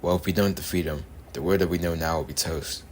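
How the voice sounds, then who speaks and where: A man's voice: low-pitched, fast, darkish tone, slight background noise, close to an everyday voice. A man voicing a blunt, grudgingly helpful anime fighter in a casual, informal delivery. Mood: serious. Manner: casual scolding, repeated takes.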